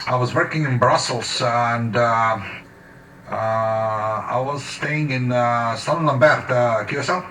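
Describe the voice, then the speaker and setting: A man chatting casually as an amateur radio operator, halting and searching for words in English. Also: medium pitch, fairly bright tone. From Slovenia. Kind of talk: casual ham radio chat. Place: home radio shack. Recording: distant mic with faint background noise.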